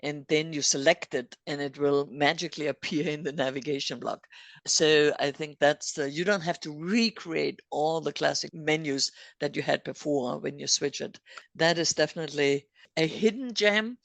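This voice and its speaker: Lady podcast host, english not her first language, gravelly fry, chats and walks through steps, laughs a lot. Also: deep voice, moderate pace, dry recording. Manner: chatty, explains step by step, laughs often. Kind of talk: casual technical chat.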